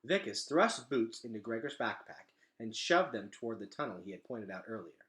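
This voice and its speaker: A man reading a children's novel aloud in an expressive, dramatic storytelling style. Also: fairly low pitch, moderate pace. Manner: animated, dramatic delivery.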